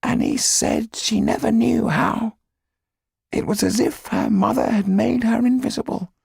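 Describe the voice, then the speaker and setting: A man voicing a solemn older Romany mystic in an audiobook, with a whispery, gravelly, husky voice and slow, hushed storytelling. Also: extremely high pitch, fairly even intonation, no room sound, studio quality, voice pushed up, exaggerated voice. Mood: grave sorrow. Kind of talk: audiobook character reading.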